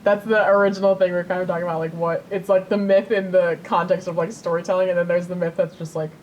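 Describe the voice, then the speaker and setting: Female co-host, slightly low register, joking and informal, light background rumble and noise. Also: little pitch movement, fast talker, dull audio. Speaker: podcast co-host. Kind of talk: casual banter.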